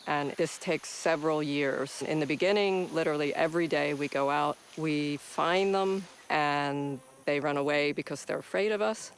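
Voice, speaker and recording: A man's voice: fairly high pitch, moderate pace, hardly any pauses, slight background noise. A male gorilla researcher patiently explaining his fieldwork step by step in an interview outdoors.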